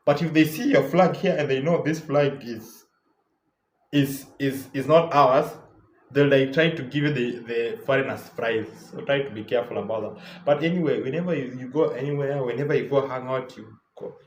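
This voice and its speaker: A man's voice: mid-range voice, moderate pace, echoey room, slight background noise. A young Kenyan man with a Kenyan English accent, giving casual travel tips in a loose, chatty way full of repeats and fillers. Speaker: travel vlogger. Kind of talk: casual travel advice talk.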